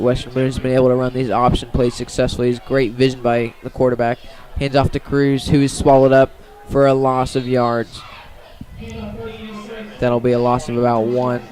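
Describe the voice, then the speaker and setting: Even-toned man calling football. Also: medium pitch. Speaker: play-by-play sports commentator. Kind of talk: live high school football radio play-by-play.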